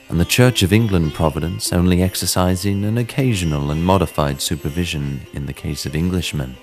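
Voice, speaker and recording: A man giving a measured, theatrical dramatic reading of a classic horror story as narrator, in the manner of a storyteller. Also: deep voice. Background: sitar music.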